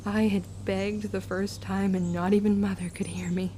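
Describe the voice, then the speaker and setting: A woman playing a grim, resolute young witch in an audio drama, measured and solemn in delivery. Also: mid-range voice, level tone, warm tone, a little hiss or hum, close to an everyday voice. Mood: bitter. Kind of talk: scripted first-person audio drama narration.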